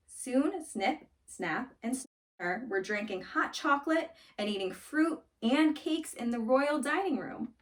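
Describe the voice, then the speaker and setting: Distant, higher-voiced woman reading a storybook. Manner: expressive, dramatic storytelling. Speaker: children's story reader. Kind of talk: scripted storybook read-aloud.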